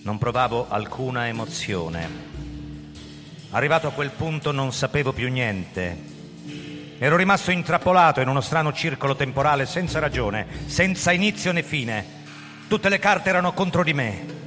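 An actor playing a bitter, framed police commissioner on the run, with a brooding, dramatic delivery in a radio drama. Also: male voice, medium pitch, sing-song, normal speed, fairly bright tone. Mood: tense narration. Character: framed police commissioner, bitter fugitive man narrating his downfall. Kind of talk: radio drama acting and first-person narration. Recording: echoey hall, music underneath. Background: music.

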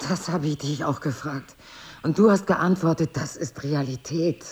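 An actress playing a bitter, defensive wife with a secret, husky and curt, sardonic in an intimate two-person drama. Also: female voice, low-pitched, normal speed, a little mellow.

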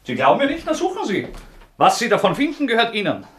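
An actor in a German radio play playing a haunted, taunting fugitive murderer, halting and erratic in his delivery. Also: high voice, huge pitch swings, fairly bright tone, full character voice. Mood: agitated, taunting. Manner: erratic, halting, needling, swinging from muttering to agitation.

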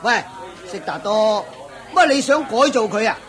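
An actor playing a brash, callous slave auctioneer in a radio play, pushy and mocking. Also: male voice, high-pitched, animated, normal speed, soft background music. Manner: pushy, mocking, sells loudly. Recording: market crowd sound effects behind. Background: crowd murmur.

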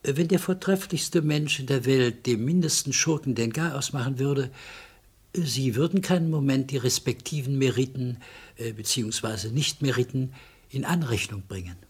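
A man acting a polite little man in a radio crime drama. The voice is very breathy and husky at a middling pitch, the manner apologetic and hesitant, yet stubbornly principled. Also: normal speed, close to an everyday voice. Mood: earnest, philosophical. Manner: apologetic, hesitant, softly philosophical.

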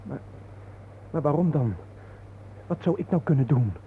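A man's voice: fairly high pitch, measured pace. An actor playing an anxious, sceptical family man in a radio drama, agitated and defensive in his delivery. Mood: bewildered. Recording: muffled, noisy old radio play recording.

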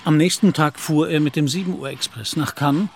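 A man narrating a literary radio play in a measured storytelling manner. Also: fairly high pitch, vocal fry, a little hiss or hum.

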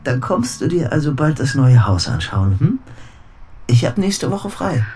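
An actor playing an obsessive, agitated lovesick man with a secret in a radio play, speaking haltingly and intensely. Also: mid-range voice, big pitch swings, a little mellow, slightly rough. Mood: hopeful. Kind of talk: German radio play acting.

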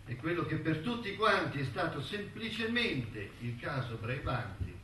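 Stage monologue: elderly, wistful, bitter man of letters; slow, halting, musing delivery; echoey, distant recording. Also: fairly high pitch, big pitch swings, pushed and bright, slight background noise, heavily acted. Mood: bitter, resigned. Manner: halting, musing, with rhetorical tags and repetitions. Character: elderly male intellectual and ant lover, wistful, bitter, reminiscing. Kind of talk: theatrical monologue in a radio-broadcast play.

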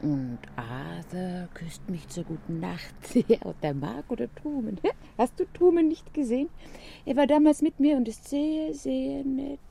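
Casting: playful young woman in a radio play, girlish and dreamy, high-pitched with pronounced creak. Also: animated, unhurried, dark tone, slight background noise. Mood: coy, teasing. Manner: girlish, whimsical, often giggling or whispering. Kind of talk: German radio play acting.

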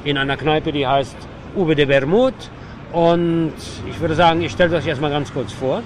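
Male radio host, slightly high voice, improvising on location with clear outdoor traffic noise. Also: normal speed. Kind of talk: spontaneous spoken introduction on location. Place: outside a city bar in the evening. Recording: noisy outdoor city street ambience.

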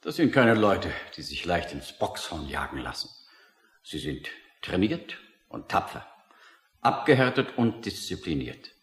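A man's voice: low voice, very animated, a little slow, fairly bright tone, reverberant, full character voice. An actor playing a self-assured, reassuring male doctor in a radio play, pompous and breezily authoritative. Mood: breezy. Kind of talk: German radio play acting.

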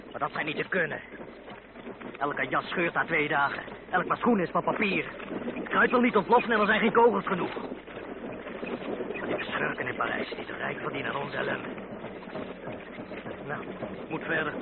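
Thick noise and a narrow band carry a high-voiced, worn-out soldier. He is a bitter lieutenant in an old radio drama, blunt and complaining. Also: gravelly. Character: weary, ragged, bitter revolutionary army lieutenant. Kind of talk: radio play acting.

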